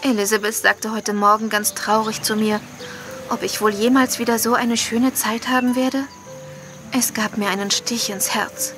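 A woman's voice: a little high, flat delivery, normal speed, music in the background, close to an everyday voice. A woman playing a kind, reassuring young woman in a radio drama. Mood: wistful, concerned. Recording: clear background ambience noise.

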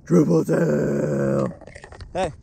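A man coaching his young sons through catching fish, giving quick, repeated instructions from a small boat. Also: fairly high pitch, creaky. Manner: excited, rapid instructions, repeats himself. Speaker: father fishing with his kids. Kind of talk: casual coaching while filming. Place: small boat on open water outdoors. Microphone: action camera on the boat. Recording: wind and boat noise outdoors.

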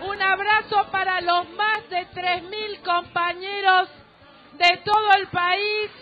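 A woman announcing at a rally, calling out thanks in a loud, projected, rousing way. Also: very high voice, flat delivery, slow. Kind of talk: rousing thanks to party members. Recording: dull lo-fi event PA with music.

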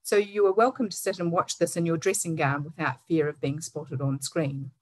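Low-voiced New Zealand woman hosting a webinar. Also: fairly even intonation. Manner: measured, formal, reading from a script. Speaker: webinar host. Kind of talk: scripted webinar introduction. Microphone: video call.

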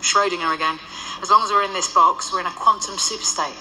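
Old ghostly nun, lowish voice, very bright tone, calm, dry, menacing, radio drama. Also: female voice, quick pace, slight background noise, rough recording. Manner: cool, matter-of-fact, quietly threatening.

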